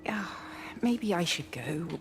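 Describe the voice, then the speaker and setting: Guarded woman, lively intonation. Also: lowish voice, slow. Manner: reserved, wry. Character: guarded, wistful married British woman meeting old flame. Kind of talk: naturalistic game voice acting.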